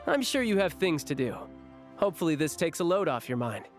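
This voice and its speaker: A man's voice: on the high side, very animated, a little fast, soft background music. An actor playing a breezy, friendly young male officer in a video game, easygoing, reassuring and a little teasing. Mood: light, teasing.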